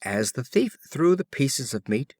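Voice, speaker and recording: A man narrating short fables from a script in a measured, expressive storytelling manner. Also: mid-range voice, no room sound, studio quality. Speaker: audiobook narrator.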